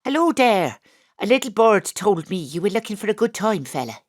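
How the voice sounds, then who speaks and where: A woman's voice: mid-range voice, sing-song, moderate pace, dry recording, studio quality, pitched above the performer's own voice. Woman putting on a flirty Irish lass. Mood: flirty, coy. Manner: coy, teasing. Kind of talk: audio drama acting.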